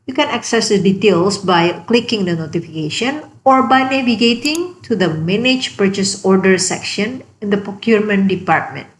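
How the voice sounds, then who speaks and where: A woman's voice: mid-range voice, animated, normal speed, few pauses, a little hiss or hum, rough recording. Woman narrating a scripted tutorial.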